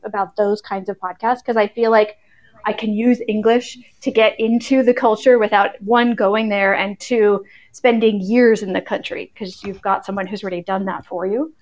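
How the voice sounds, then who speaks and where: American female podcaster, average pitch, eager casual conversational delivery. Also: muffled recording. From United States. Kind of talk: casual group chat.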